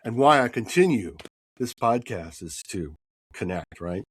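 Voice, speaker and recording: A man hosting a podcast interview, husky from a cold, upbeat and tagging his points with "right?". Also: fairly low pitch, expressive, unhurried. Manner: upbeat, often adds "right?". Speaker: podcast host.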